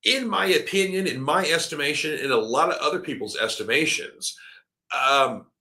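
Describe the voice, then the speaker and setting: Middle-aged male show host, rambling and opinionated, sharp bright tone, slightly scratchy throat. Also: fairly high pitch, moderate pace. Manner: rambling, opinionated, heavy on fillers. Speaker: wrestling podcast host. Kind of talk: live-streamed opinion commentary.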